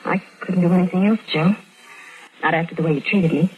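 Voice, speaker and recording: An actress in a 1950s radio drama playing a hesitant, tender ex-wife who speaks softly and with a waver. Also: female voice, fairly low pitch, steady tone, a little fast, narrow, tinny audio, lightly acted. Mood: wistful. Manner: soft, wavering, emotional. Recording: old radio broadcast with background music.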